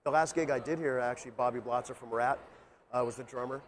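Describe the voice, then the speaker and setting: A man's voice: medium pitch, steady tone, fast, a little hiss or hum. A man from Los Angeles, a musician guest, chatting upbeat about his show in a casual interview. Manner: upbeat, friendly plugging.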